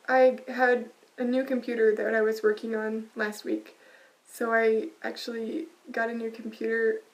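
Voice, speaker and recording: A quiet-voiced woman hosting a casual knitting podcast, soft-spoken and full of small ums and uhs. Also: fairly high pitch, distant mic, rough recording. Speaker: podcast host.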